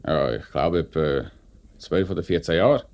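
A man's voice: sits low, a little slow, a little mellow. An actor with a Swiss German accent playing a stern, unbending factory foreman in a 1950s radio play, blunt and insistent. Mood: reporting.

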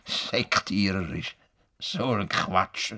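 An actor in a radio play playing a stubborn, self-important farmer who grumbles scornfully. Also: male voice, sits low, very slow, sharp tone, full character voice. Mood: scornful, indignant. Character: stubborn, indignant male farmer and self-styled world-improver. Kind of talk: radio play acting in Swiss-coloured German.

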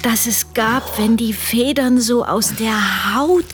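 Slow, wry storytelling mother. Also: a little high, faint music behind. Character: wry, disapproving wife and mother narrating the family tale. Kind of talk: scripted radio play narration.

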